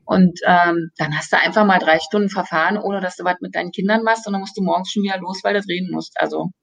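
A woman from Berlin, a voice actress guest, chatting in a lively, agreeable way and quick to chime in. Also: fairly low pitch, creaky. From Berlin, Germany. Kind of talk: casual interview chat.

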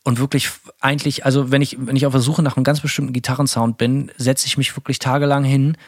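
A man's voice: mid-range voice, level tone, fast, no echo, studio quality. A man co-hosting a casual podcast chat, rambling with many fillers and restarts. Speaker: podcast co-host.

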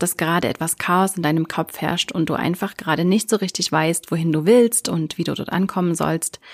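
Female podcast host, lowish voice, scripted intros and interview questions. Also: a little mellow.

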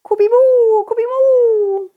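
A woman voicing an excitable, childlike, friendly cow-spotted ghost that wails a nonsense word in drawn-out cries. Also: extremely high pitch, phone-quality sound, voice pushed up. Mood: hungry.

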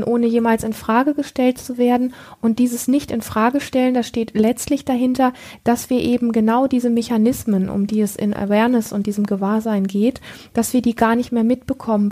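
An earnest woman explains inner awareness in a coaching talk, stopping often to search for the right word. Her voice sits a little high and sounds mellow and breathy. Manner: earnest, searching for words with many fillers. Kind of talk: reflective teaching talk.

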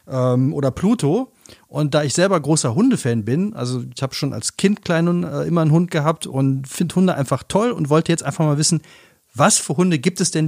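A man's voice: on the high side, darkish tone, no echo. A man hosting a podcast from a small studio, chatty, with joking asides. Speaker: podcast host. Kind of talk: casual podcast chat.

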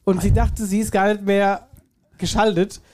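A podcast co-host talks casually through a headset, his nose a little stuffy from a cold. His voice sits high but sounds dark and mellow. He rambles and laughs easily. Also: little pitch movement, normal speed, slight background noise. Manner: chatty, laughs often, rambling restarts. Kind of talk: casual chat. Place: home studio, seated in armchair.

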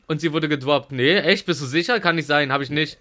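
Male podcast co-host, bright and forceful, slightly high voice, chatty and rambling, stumbles over starts. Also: fairly quick. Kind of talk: casual banter among friends.

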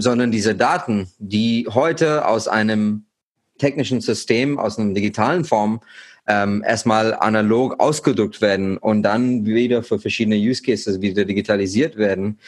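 A man in his thirties from India, a startup founder talking as a podcast guest with many pauses and fillers. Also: mid-range voice. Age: 30s. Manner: hesitant, many fillers and restarts. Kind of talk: podcast interview.

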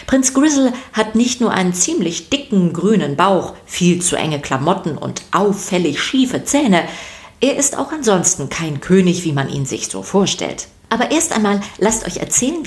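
Woman, lowish pitch, scripted children's podcast narration, expressive storyteller. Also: moderate pace.

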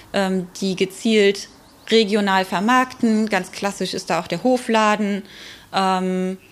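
A woman giving informal expert answers in an interview, speaking thoughtfully and with frequent hesitant pauses. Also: medium pitch.

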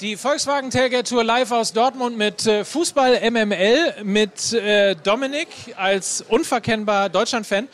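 A man hosting a live football podcast, joking quickly with guests and using fillers. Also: high-pitched, a bit bright. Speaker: podcast host. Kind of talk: live show moderation. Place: live event.

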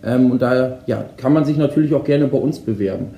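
A man's voice: medium pitch, fairly quick, warm tone. A man hosting a company podcast, greeting guests in a friendly, casual way with frequent filler sounds. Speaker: podcast host. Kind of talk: casual moderated podcast chat. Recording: roomy echo.

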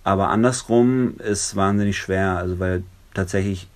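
A man whose first language is Italian, answering interview questions in a thoughtful, conversational way, pausing to search for words. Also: low-pitched. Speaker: interview guest, song translator.

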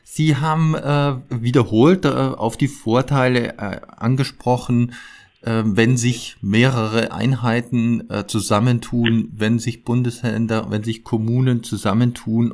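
A man interviewing formally, putting his questions in a halting, hesitant way. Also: mid-range voice, steady tone, a little mellow.

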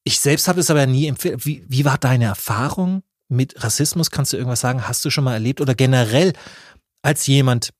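A man's voice: medium pitch, fairly quick, no echo. Rambling German podcast man, dry room. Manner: rambling, restarts often, blunt and coarse. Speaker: podcast co-host. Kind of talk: casual podcast chat.

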